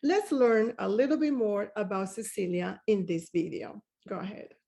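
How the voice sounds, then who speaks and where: A woman presenting awards at a live ceremony, reading out prepared tributes to the winners in a measured way. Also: mid-range voice, gravelly, warm tone.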